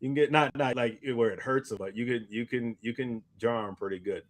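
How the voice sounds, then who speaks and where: A man's voice: mid-range voice, brisk. A man co-hosting a podcast, chatting casually in informal, slangy speech. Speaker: podcast co-host. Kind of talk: casual chat.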